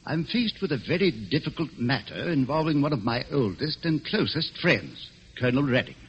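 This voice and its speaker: Eager gentleman client, vintage radio mystery. Lively, swooping delivery, formal but hesitant, with noise on the old recording. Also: mid-range voice, normal speed, highs cut off. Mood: earnest, uneasy. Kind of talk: 1940s American radio drama acting.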